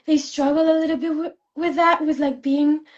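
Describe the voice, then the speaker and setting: A young woman from Spain, a fashion student, chatting in an interview, soft-spoken with many fillers and restarts. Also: high-pitched, level tone, moderate pace, highs cut off. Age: young adult. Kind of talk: casual interview chat.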